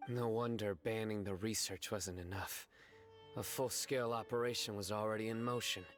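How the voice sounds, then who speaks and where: Man acting a low-voiced, stern, stoic investigator. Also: faint music behind, natural voice. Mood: stern, probing. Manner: grave, deliberate, deadpan. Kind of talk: dramatic video game voice acting.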